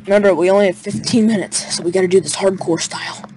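A man's voice: high-pitched, steady tone, quick pace, a little mellow. Playful young man on noisy voice chat. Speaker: gaming guest and YouTuber. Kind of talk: casual, joking game chat. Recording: clearly noisy voice chat with clicks.

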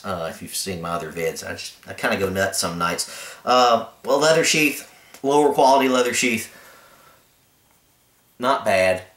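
A man's voice: lowish voice, fairly bright tone, reverberant. A man hosting his own channel, casually reviewing a cheap knife with a laid-back, rambling manner and blunt opinions. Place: indoors, camera filming a carpeted floor.

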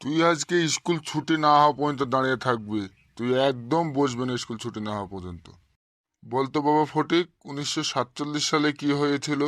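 A man's voice: mid-range voice, a little fast, fairly bright tone, no room sound, clean studio sound, close to an everyday voice. A man voicing a short-tempered middle-aged male schoolteacher in a comic cartoon, blunt and sarcastic with his pupils. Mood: quizzing. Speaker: cartoon voice actor.

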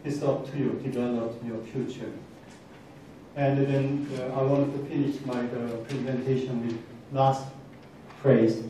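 Korean guy giving a motivational lecture, soft mellow voice, kinda far from mic in a big echoey hall. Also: mid-range voice, little pitch movement, a little slow. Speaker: guest speaker. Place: large indoor university lecture hall. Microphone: handheld microphone, captured from across the room. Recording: very echoey hall, distant, faint noise.

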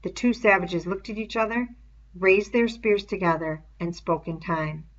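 A woman's voice: mid-range voice, normal speed. A woman reading a novel aloud as scripted narration, on a dull, lo-fi recording. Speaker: reader of an audiobook read-aloud. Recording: dull, muffled lo-fi home recording.

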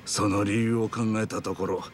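A composed, courteous restaurant chef played by a man speaks in a lowish voice. His manner is measured and polite, in video game dub style, with faint music underneath. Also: fairly even intonation, natural voice. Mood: humble, reflective. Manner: measured, polite shopkeeper speech.

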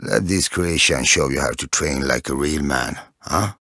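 An actor playing a gruff, boastful strongman criminal in a video game, gravelly, scornful and commanding. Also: extremely low pitch, moderate pace, sharp tone, no echo, studio quality, exaggerated voice.

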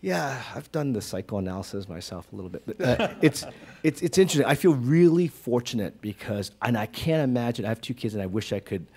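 A man's voice: mid-range voice, brisk, vocal fry, slightly rough. A man in his forties from Hong Kong, a creative director as guest in an onstage conversation, talking with lots of fillers and big hand gestures into a stand mic. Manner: animated, gestures broadly, many fillers. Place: stage in a small auditorium with audience. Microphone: stand mic close to mouth.

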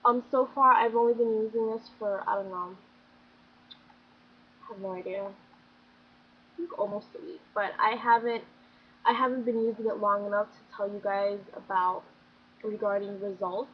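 A woman's voice: medium pitch, fairly even intonation, normal speed, long pauses. Young woman, beauty vlogger, chatty and gushing, slightly scratchy, small bedroom, dull webcam sound. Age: young adult. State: slightly scratchy, getting sick. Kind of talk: casual product review chat. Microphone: built-in webcam mic. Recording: dull lo-fi webcam audio with faint room noise.

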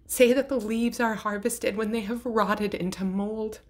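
A woman reading poetry aloud in a measured, deliberate way, pausing between phrases. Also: fairly high pitch, fairly even intonation, a little mellow. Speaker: poetry reader. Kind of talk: poetry recitation.

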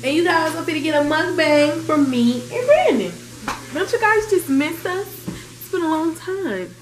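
A young woman with a stuffy nose from a cold, hosting a casual food vlog at home and teasing her partner, shifting from low mumbles to loud high greetings. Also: big pitch swings, moderate pace, a little hiss or hum, low-quality recording. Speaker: vlogger host. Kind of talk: casual mukbang vlog chat. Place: small home kitchen and dining table indoors. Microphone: handheld phone camera then fixed camera at table.

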